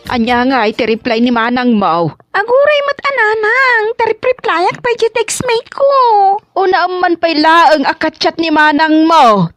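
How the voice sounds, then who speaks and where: Woman acting fussy nosy filipino mom in comedy drama, high voice swooping all over, excitable. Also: dry recording.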